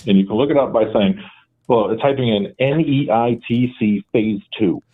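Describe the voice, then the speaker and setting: A man's voice: medium pitch, normal speed, husky. A man with a Boston accent calling in to a radio show, explaining at length with rambling emphasis. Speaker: caller. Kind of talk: call-in radio talk. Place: home, with his wife beside him. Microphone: speakerphone, then handset. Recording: dry phone line.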